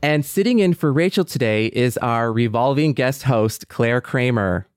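A man's voice: medium pitch, lively intonation, measured pace, clean tone, no room sound, studio quality. A man hosting a podcast with a scripted introduction. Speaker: podcast host.

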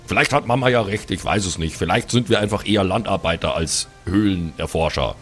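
A man acts out a dutiful adventurer in a fantasy audio play. He reasons earnestly and resignedly, pausing as he thinks, over faint music. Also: deep voice, natural voice. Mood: chiding, resigned. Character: dutiful, studious older-brother adventurer, resigned and practical.

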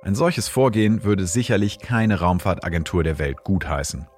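A man hosting a science podcast, giving measured, explanatory scripted narration. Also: low voice, lively intonation, a little mellow, soft background music. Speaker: host and astrophysicist.

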